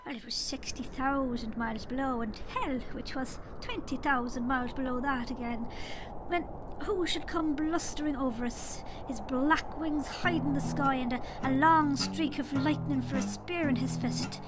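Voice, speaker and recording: A woman's voice: high voice, normal speed, background noise, close to an everyday voice. A woman playing a genial, chatty leprechaun fairy king with an Irish accent, telling tales in an audio drama. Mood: reminiscing. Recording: music underneath. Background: music.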